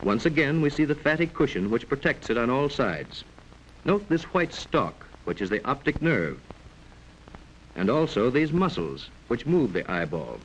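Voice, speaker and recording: A man narrating a 1940s educational film in a formal, measured, instructive way, on a vintage film soundtrack. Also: medium pitch, big pitch swings, a bit bright, slight background noise, poor audio.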